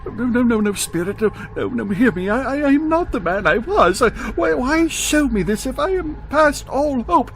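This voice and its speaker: A man's voice: very high-pitched, expressive. A man playing a frightened, repentant old miser in a radio drama, stammering and pleading as he draws out his words. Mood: desperate pleading. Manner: stammering, pleading, drawn-out delivery. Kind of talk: theatrical radio drama acting.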